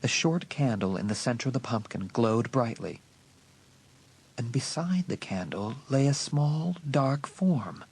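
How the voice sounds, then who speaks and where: A man's voice: medium pitch, animated, darkish tone, breathy edge. A man narrating a horror audiobook in a dramatic, suspenseful scripted style. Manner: suspenseful, deliberate emphasis.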